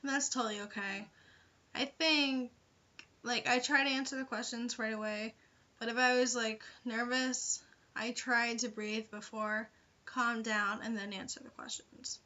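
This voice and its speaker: Bright-voiced young woman, muffled lo-fi recording. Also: fairly high pitch, steady tone, unhurried. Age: young adult. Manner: informal, hedging, frequent "like" and "you know". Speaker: vlogger giving interview tips. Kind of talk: casual chatty advice vlog.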